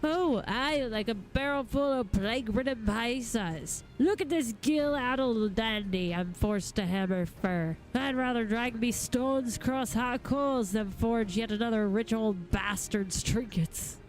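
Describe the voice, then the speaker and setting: Cranky rustic male blacksmith for a fantasy game, performed by a woman, gruff, music underneath. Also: fairly high pitch, measured pace, few pauses, poor audio. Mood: grumbling. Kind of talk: reading fantasy game dialogue aloud.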